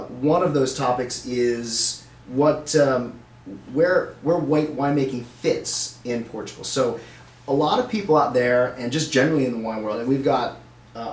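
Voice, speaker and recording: A man's voice: mid-range voice, steady tone, moderate pace. Chatty male webcast host, roomy sound. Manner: chatty, upbeat, many fillers. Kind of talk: live webcast hosting. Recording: roomy, faint noise.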